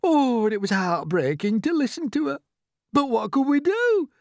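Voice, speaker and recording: A narrator's high, fretful lady spider. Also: very animated, moderate pace, no room sound, studio quality. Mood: fretful, aggrieved. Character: fretful, dramatic, chatty female spider.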